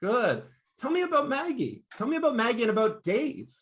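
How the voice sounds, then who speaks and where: A man's voice: very high-pitched, sing-song, brisk, fairly bright tone. A man interviewing an actor, upbeat and playfully teasing. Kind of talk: casual interview chat.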